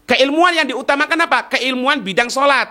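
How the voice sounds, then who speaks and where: A man's voice: extremely high pitch, normal speed, pushed and bright. A man teaching an Islamic lesson, explaining a text line by line in a didactic way, repeating phrases and asking rhetorical questions. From East Java, Indonesia.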